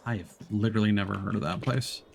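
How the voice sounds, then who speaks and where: A man with a low, gravelly voice chats casually while he plays, in loose, half-muttered asides. A faint hiss sits under the recording. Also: fast. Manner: relaxed, mumbling asides to himself and a co-player. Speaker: streamer. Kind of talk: casual gameplay chat.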